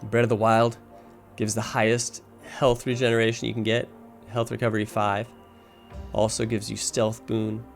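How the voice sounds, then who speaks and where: A man's voice: fairly low pitch, creaky. A man casually talking through a video game as its guide, explaining his choices and thinking aloud as he plays. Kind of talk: casual walkthrough commentary while playing. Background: music.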